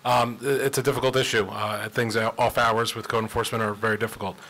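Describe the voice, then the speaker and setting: A man answering residents as township administrator, measured and explanatory. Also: mid-range voice, little pitch movement, pushed and bright, poor audio.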